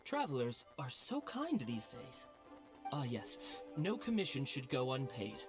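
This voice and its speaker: Courteous game official. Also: male voice, mid-range voice, huge pitch swings, over music. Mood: formal. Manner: measured, courteous. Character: polite, formal male government official. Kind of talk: game cutscene dub.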